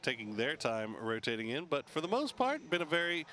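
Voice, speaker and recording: A man commentating a competitive shooter match, jokey and animated, laughing often. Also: fairly high pitch, fairly quick, a little hiss or hum. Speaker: esports caster. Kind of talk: play-by-play commentary.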